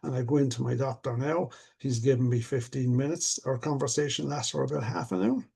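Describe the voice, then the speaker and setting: Older guy, gravelly husky voice, kinda dark tone, talks slow and earnest like a coach. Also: medium pitch. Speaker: stuttering coach. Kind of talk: casual conversation.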